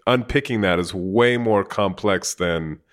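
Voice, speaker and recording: A man's voice: low voice, measured pace. A man in his forties hosting an interview, asking long, casual questions full of fillers and restarts. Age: 40s. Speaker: podcast host. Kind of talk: conversational interview.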